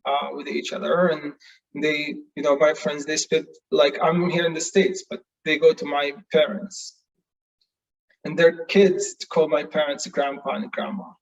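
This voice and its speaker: A man's voice: a little high, little pitch movement, a little slow, creaky. Earnest man from Palestine, distant muffled call. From Palestine, West Bank. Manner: earnest, hesitant, many fillers and restarts. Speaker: nonviolent communication trainer. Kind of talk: casual talk in an online session.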